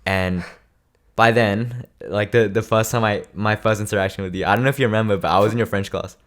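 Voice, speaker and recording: Low-voiced young podcast host, late teens, chatty and eager. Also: male voice, fairly even intonation, a little fast, fairly bright tone. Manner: chatty, eager, frequent affirmations. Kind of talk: casual chat.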